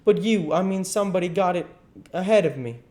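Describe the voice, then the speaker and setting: A man's voice: sits high, fairly quick, darkish tone, voice pushed up, natural voice. A man voicing a blustering old con man with folksy rural speech in a dramatised storybook reading. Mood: wheedling protest.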